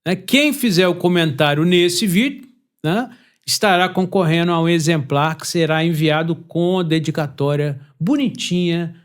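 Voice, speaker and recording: A man with a Brazilian Portuguese accent hosting a podcast, chatty and animated, laughing easily. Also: fairly high pitch, moderate pace. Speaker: podcast host. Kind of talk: casual chat.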